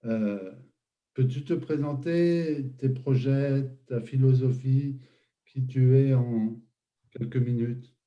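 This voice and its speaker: A man hosting an interview, asking questions and summing up in a measured way with fillers. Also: mid-range voice, muffled recording. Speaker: host.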